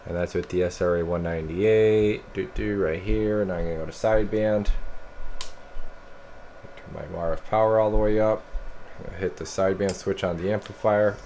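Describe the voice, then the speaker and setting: A man's voice: low-pitched, moderate pace. An American man giving a casual hands-on demonstration as a radio technician. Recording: steady noise behind the voice. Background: clear background noise.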